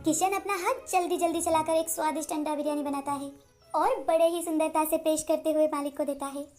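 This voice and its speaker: Female story narrator, extremely high pitch, scripted delivery over faint music. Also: fairly even intonation, brisk, a little mellow.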